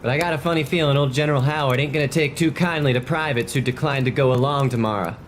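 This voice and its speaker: A man's voice: medium pitch, fairly even intonation, fast talker, a little hiss or hum, lightly acted. A man playing a hard-nosed, by-the-book cavalry soldier in a historical drama, admonishing in a folksy, matter-of-fact way. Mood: warning.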